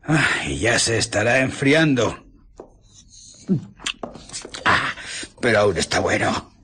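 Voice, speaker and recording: An actor playing a stooped, suspicious shopkeeper in a radio drama, muttering querulously to himself. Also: male voice, lowish voice, sing-song, takes it slowly, background noise. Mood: puzzled, muttering. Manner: muttering, querulous, swooping exclamations. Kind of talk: radio drama character acting.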